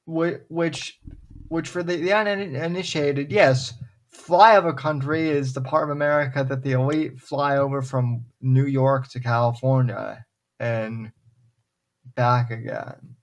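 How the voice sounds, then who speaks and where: A man hosting a podcast in casual chat, talking in a halting way with many pauses and restarts. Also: medium pitch, steady tone. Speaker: podcast host.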